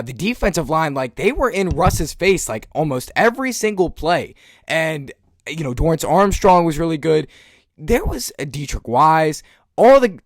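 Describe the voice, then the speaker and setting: Young male fan host, hoarse, slightly high voice, dry booth sound, throat-clearing and filler-heavy delivery. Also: dry recording. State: hoarse from screaming. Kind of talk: post-game analysis monologue.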